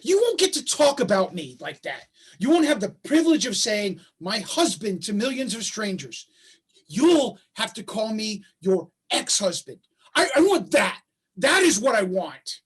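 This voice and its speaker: Man acting an anguished husky-voiced father, swinging pitch. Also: big pitch swings, measured pace, heavily acted. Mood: bitter, insistent. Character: bitter, anguished father and estranged husband. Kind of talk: staged play reading. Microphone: video call.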